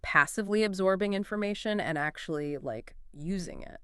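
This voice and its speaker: A woman's voice: medium pitch, a little fast, fairly bright tone, smooth, no echo, clean studio sound. A woman co-hosting a podcast in casual chat, talking conversationally with lots of fillers and eager reactions. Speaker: podcast co-host.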